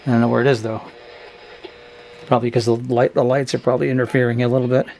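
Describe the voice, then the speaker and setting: A man casually demonstrating products without a script, speaking in an offhand, halting and hedging way. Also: medium pitch, flat delivery, vocal fry, darkish tone, a little hiss or hum, rough recording. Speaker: host of a vintage radio review channel.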